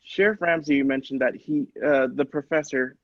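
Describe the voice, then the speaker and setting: A man's voice: a little high, fairly even intonation, moderate pace, no room sound, highs cut off, lightly acted. A man playing an earnest, shaken doctor in a radio play, agreeable and hesitant, with dramatic stammers. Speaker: podcast actor.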